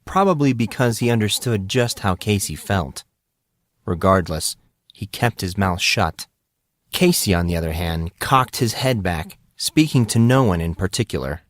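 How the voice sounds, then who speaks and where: A man's voice: on the low side, expressive, moderate pace, dry recording. A man reading scripted fiction as an audiobook narrator.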